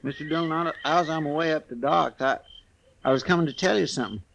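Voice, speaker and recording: Western radio sidekick: flustered rural American deputy, gravelly highish voice, deferential, earnest, folksy. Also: male voice, fast talker, a bit bright. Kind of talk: old-time radio western acting. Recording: vintage 1950s radio recording.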